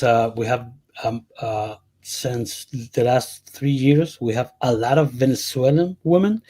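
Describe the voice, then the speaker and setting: A man from Chile, a panel guest talking in a halting, defensive way and repeating himself in casual banter. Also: mid-range voice, darkish tone.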